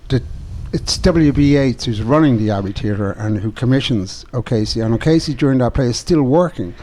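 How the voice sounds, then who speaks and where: A man hosting a casual arts interview in a studio, enthusiastic and halting, often repeating his words. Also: medium pitch, warm tone. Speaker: radio show host. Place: radio studio.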